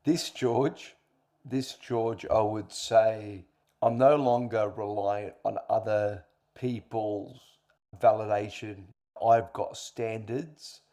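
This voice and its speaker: A man's voice: lowish voice, gravelly, mellow. A middle-aged man answering interview questions earnestly, explaining with examples and repeating points for emphasis. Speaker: interview guest, coach.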